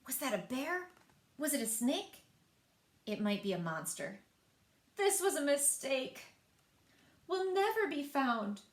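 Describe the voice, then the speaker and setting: Woman as a small jittery storybook stone, loyal pal, high exclamations, roomy sound. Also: sing-song, slow pace, pauses often, exaggerated voice. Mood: frightened, urgent. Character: excitable, giggly little stone, a loyal friend.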